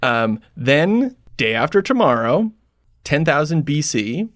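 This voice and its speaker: A man's voice: a little high, sing-song, slow pace, fairly bright tone, dry recording, clean studio sound. A man co-hosting a podcast, running through a list of films with wry, sarcastic asides. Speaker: podcast co-host. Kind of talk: casual chat, recapping films.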